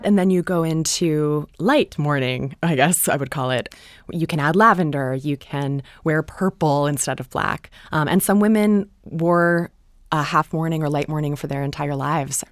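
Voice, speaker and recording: A woman's voice: low voice, normal speed, no echo, studio quality. A woman playwright explaining her work thoughtfully in a panel discussion. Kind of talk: panel discussion about a play.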